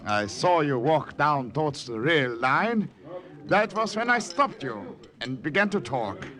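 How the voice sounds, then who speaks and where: An actor playing a suspicious, domineering German army captain with a German accent and slyly jovial menace, in 1950 radio drama style. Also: male voice, on the high side, sing-song, unhurried, a bit bright. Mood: sly, probing. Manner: impatient, teasing, insinuating, with sly chuckles. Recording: vintage radio recording with music.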